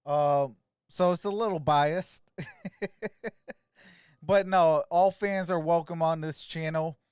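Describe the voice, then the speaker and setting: A man's voice: fairly high pitch, fairly even intonation, slow pace, a little mellow, clear, resonant tone, no echo, studio quality. A man hosting a sports livestream, mixing casual, friendly chat with viewers and informal play-by-play commentary. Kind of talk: casual live stream chat and game commentary.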